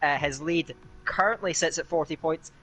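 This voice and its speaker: A young Scottish man commentating live on an online racing league, chatty and filling pauses with "uh". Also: fairly high pitch, bright, forceful tone, low-quality recording. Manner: chatty, with frequent "uh" fillers and a quick laugh. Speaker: esports race commentator.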